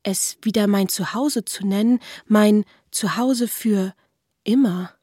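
Reflective young gentlewoman in period radio drama: soft dark tone, husky, intimate, very close and dry. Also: mid-range voice, takes it slowly, no room sound. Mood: yearning. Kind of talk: literary radio play acting.

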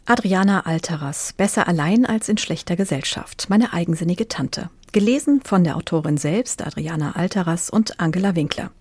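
A woman's voice: on the low side, lively intonation, normal speed, hardly any pauses, darkish tone, husky, no echo. A woman hosting a radio book programme, presenting from a script and putting questions in an orderly way. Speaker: radio host.

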